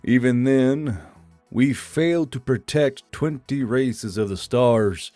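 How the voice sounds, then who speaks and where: An actor playing a tired, solemn male drill sergeant, giving a grave, deliberate war speech in an audio story. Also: mid-range voice, mellow, no echo, faint music behind, close to an everyday voice. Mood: solemn, regretful. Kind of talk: dramatic audiobook character reading.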